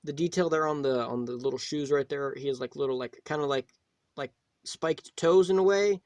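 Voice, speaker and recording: A man hosting a casual, unscripted toy review, talking in a rambling, halting way and often rephrasing himself. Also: on the high side, darkish tone, no echo. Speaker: toy reviewer, video host.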